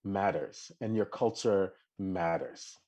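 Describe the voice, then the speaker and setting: Male podcast co-host, dark and husky, lively stop-start chatter. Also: slow. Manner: animated, many restarts, bursts of high laughing exclamations. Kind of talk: casual live chat.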